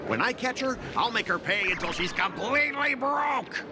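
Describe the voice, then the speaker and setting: A man's voice: very high voice, expressive, moderate pace, heavy background noise. A man voicing a fawning, melodramatic corporate underling in a video game, with an obsequious, bombastic, exaggerated manner. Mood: eager, aggrieved. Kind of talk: video game character dialogue. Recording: clear music behind the voice. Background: game music.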